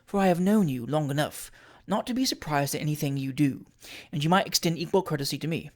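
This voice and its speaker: A narrator acting out a rich lady of forty in a period storybook. She is cool and scheming, speaking quietly in a measured, dry, imperious way. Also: medium pitch, lightly acted.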